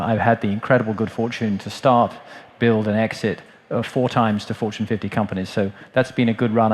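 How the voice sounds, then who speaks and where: An older man from Texas with a Texan accent, introducing himself on a conference panel in a relaxed, wry way with many ums and uhs. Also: fairly low pitch. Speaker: panelist, entrepreneur and investor.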